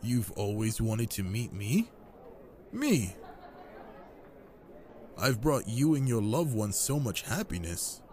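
Man acting flirtatious wine god, genial, smooth-talking, expressive swooping delivery, intimate audio drama. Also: on the low side, takes it slowly, long pauses, some chatter behind. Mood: flirtatious, tender.

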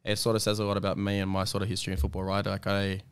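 A man, a podcast guest talking casually in quick run-on sentences with many restarts. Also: fairly low pitch, monotone, clear, resonant tone. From Australia.

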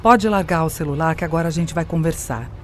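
A woman's voice: low voice, fast talker, lightly acted. A woman playing a manipulative artificial intelligence in an audio drama, with a theatrical, condescending manner. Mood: cold, commanding. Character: scheming female artificial intelligence, smug, mocking, manipulative. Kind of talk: scripted audio drama acting.

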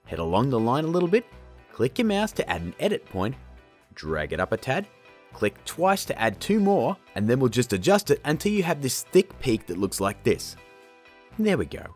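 A man in his thirties hosting a casual software tutorial in an informal, jokey and emphatic way. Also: mid-range voice, huge pitch swings, moderate pace, faint music behind. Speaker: video tutorial host.

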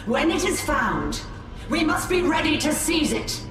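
An actress playing a solemn, commanding alien leader in a video game, imperious and measured. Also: female voice, sits high, big pitch swings. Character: commanding female Eldar leader, solemn and prophetic. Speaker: game character. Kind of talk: dramatic video game cutscene acting. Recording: distant, heavily echoing, music underneath.

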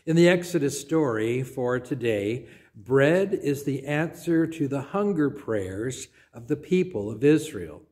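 Slow, measured older male preacher. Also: medium pitch, animated. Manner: measured, deliberate preaching with soft trailing phrase endings. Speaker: pastor. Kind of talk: sermon. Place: small church sanctuary, indoors. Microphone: phone or camera at close range.

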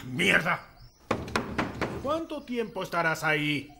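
A man in an amateur fan dub playing a furious, foul-mouthed middle-aged father who shouts explosively at his son. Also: high-pitched, sing-song, slow, vocal fry, heavily acted. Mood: angry, exasperated.

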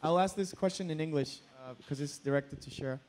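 A young man with a fairly high voice asks a question from the audience on a handheld mic. He feels his way tentatively through it, with faint room noise behind him. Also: moderate pace, a little mellow. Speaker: audience member asking a question. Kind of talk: spontaneous question to a panel. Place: audience in indoor hall. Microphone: handheld microphone.

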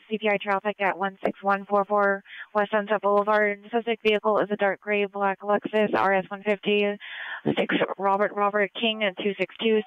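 High, flat-pitched man dispatching on police radio. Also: clean tone. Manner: clipped, procedural. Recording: muffled police scanner radio.

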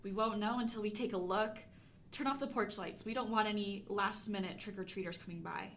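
A woman's voice: medium pitch, brisk, fairly bright tone, close to an everyday voice. A woman playing a bold, pushy and curious teenage sister in an audio drama. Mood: bossy, eager.

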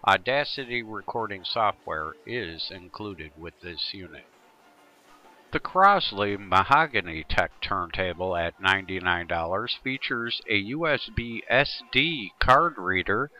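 A man's voice: on the low side, expressive, a little slow, pushed and bright, muffled recording. A man presenting a tutorial in scripted, instructional narration.

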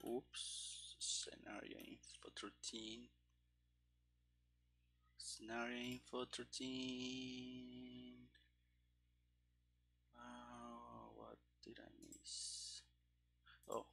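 A man in his thirties thinking aloud as he codes live, halting and muttering in fragments into a headset mic. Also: medium pitch, monotone, vocal fry, sharp tone, husky. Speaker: game developer streaming his coding. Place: small room indoors.

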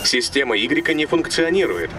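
A man's voice: on the high side, expressive, a little fast, natural voice. A man voicing a loyal, logical cartoon robot car that speaks in clipped, formal, procedural announcements. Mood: calm, dutiful. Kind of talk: dubbed cartoon voice acting. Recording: music behind the voice.

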